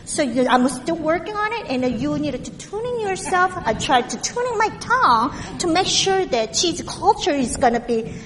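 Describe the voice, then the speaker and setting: A woman from Korea with a heavy Korean accent, preaching a sermon in a halting way, with many fillers and restarts. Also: extremely high pitch, sing-song, in a large hall.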